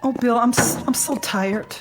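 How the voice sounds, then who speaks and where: A frightened American wife and mother in a radio drama played by an actress. Her high voice falters and frets with despair. Faint music sits underneath. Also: unhurried, natural voice. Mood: weary fear. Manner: fretful, faltering.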